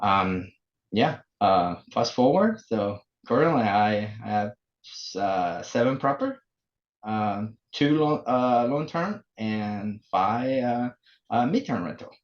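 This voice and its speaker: A man in his forties from El Salvador, speaking English, telling his story as a podcast guest with many pauses and fillers. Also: fairly low pitch, expressive. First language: Spanish. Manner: halting, many fillers and restarts. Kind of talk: casual interview. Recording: muffled lo-fi remote audio.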